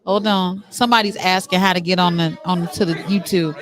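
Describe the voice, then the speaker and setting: A woman's voice: lowish voice, steady tone, a little fast. A middle-aged woman hosting a casual on-location interview, chatty and playful, generous with compliments. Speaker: show host and interviewer. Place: on location at a theatre after a stage play.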